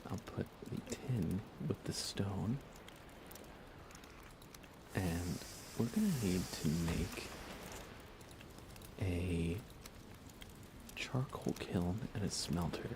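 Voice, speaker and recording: A low, gravelly man talks softly through a relaxed ASMR gaming stream. He often slips into a near whisper, keeping things casual and close to the microphone. Steady background noise and game ambience sit clearly behind him. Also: extremely slow, pauses often. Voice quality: creaky, low. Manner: soft-spoken, often dropping to a whisper. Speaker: livestreaming gamer.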